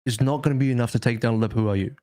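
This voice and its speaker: A man's voice: mid-range voice, racing, mellow, dry recording, clean studio sound. A man on an esports podcast panel, giving casual, sweary opinions and banter. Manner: sweary, informal.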